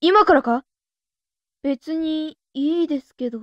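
A woman's voice: high-pitched, a little slow, dull audio, noisy background. A woman playing a naive, eager young witch girl, earnest and awkwardly half polite, half boyish, in a comic anime voice drama. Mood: unsure.

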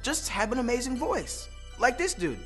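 A young American man presenting a scripted comedic web show in a playful, animated, slangy way. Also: extremely high pitch, normal speed, soft background music. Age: young adult. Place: studio with green screen, music behind.

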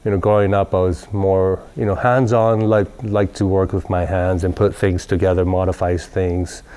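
Deep-voiced man, relaxed rambling talk. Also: normal speed, smooth. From Southern California. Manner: relaxed, rambling with many fillers. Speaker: company president. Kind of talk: casual interview. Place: large workshop garage, indoors.

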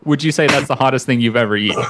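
A man's voice: medium pitch, rapid-fire, noisy background. Jokey man bantering on a video call. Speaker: friend teasing on a group video call. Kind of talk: casual chat.

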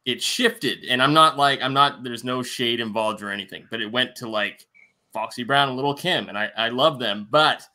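A man's voice: medium pitch, normal speed, pushed and bright. A man on a video call asking a curious question in a careful, hedging way. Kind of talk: casual video call chat.

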